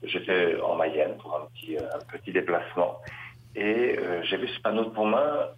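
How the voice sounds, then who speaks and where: A middle-aged man from western France, a Dominican priest, answering interview questions with many pauses and restarts. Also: low voice, noisy background. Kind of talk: radio interview. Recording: roomy, slightly dull radio sound.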